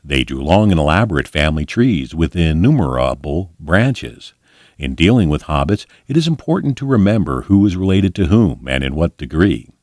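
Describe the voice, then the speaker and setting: A man with a deep voice reads a fantasy tale aloud. His delivery is measured and expressive, with lively swings in pitch. The sound is close and dry, suited to a bedtime audiobook. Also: dry recording. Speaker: volunteer storybook reader. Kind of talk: scripted audiobook narration of a fantasy book.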